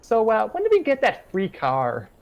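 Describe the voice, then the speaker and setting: Man putting on a high ditzy teenage girl. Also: huge pitch swings, moderate pace, gravelly, noisy background, full character voice. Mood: puzzled.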